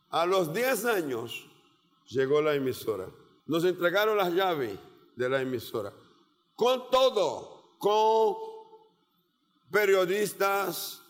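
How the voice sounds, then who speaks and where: A man with a Portuguese accent, a Portuguese native speaker preaching a sermon as a missionary, speaking in slow, deliberate phrases with measured pauses. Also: high voice. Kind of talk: sermon with personal testimony. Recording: long reverb of a large hall.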